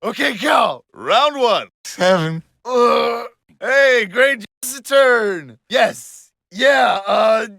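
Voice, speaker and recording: An excitable, bossy video game announcer, with a bright, creaky edge and big swings in pitch. The lines are theatrical commands, drawn out and shouty. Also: drawn-out words, dry recording, studio quality, full character voice. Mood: hyped, bossy.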